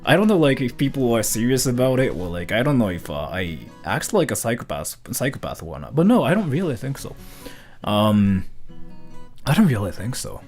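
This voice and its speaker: A man's voice: medium pitch, big pitch swings, normal speed, a little mellow, soft background music. A man from Japan, a streamer, chatting casually and answering viewer questions in a rambling, loose way. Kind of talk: casual livestream chat, reading viewer questions.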